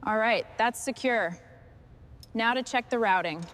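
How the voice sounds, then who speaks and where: A woman's voice: a little high, measured pace, fairly bright tone, slight background noise. A woman working as a mechanic, giving short hands-on commentary on her work as she goes. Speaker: mechanic narrating restoration work.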